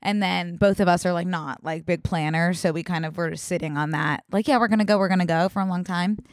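A woman's voice: on the low side, fairly even intonation, fast, no echo, studio quality. A young woman co-hosting a podcast, chatting casually and telling a story with lots of likes and ums. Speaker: podcast co-host. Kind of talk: casual chat.

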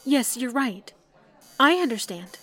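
A woman's voice: a little high, big pitch swings, slow pace, bright, forceful tone, heavily acted. A woman playing a meek, shaken young trainee telephone operator, hesitant and earnest, in an old-time radio play. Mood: meek, obedient.

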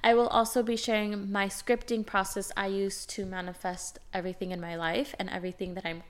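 A young woman hosting her own podcast, talking casually and directly, sounding nasal and raspy from a cold. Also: medium pitch, little pitch movement, fairly quick. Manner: chatty, direct, occasionally swears. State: has a cold. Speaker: podcast host and life coach. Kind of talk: casual solo podcast monologue.

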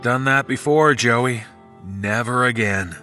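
A man's voice: medium pitch, takes it slowly, pushed and bright, soft background music, pitched above the performer's own voice. A man voicing a lovelorn teenage boy who acts nonchalant, in an audio story. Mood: dry banter. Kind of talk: audio horror story dialogue acting.

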